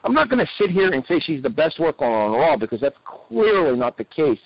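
A man with a slightly rough voice, co-hosting a wrestling podcast in casual review chat. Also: fairly high pitch, very animated, a little fast, dry recording, muffled recording.

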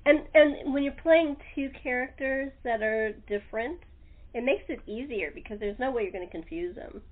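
High-voiced chatty woman on a phone line. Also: expressive, normal speed. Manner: chatty, laughs often. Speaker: host. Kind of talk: casual chat interview. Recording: noisy, tinny phone-like line.

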